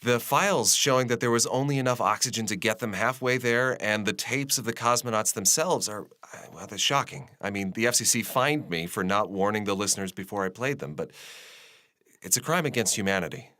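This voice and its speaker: A man's voice: lowish voice, brisk, pushed and bright, dry recording, studio quality. A man playing a tired, earnest radio journalist talking conversationally as an interviewee in a drama. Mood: earnest, indignant.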